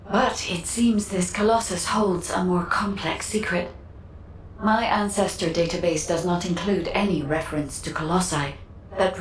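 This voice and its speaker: A woman playing a composed, analytical guide in a video game, with a formal, measured, clinical delivery. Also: fairly low pitch, slight background noise, lightly acted. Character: composed analytical female guide, serious and precise. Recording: echoing, distant, faint music behind.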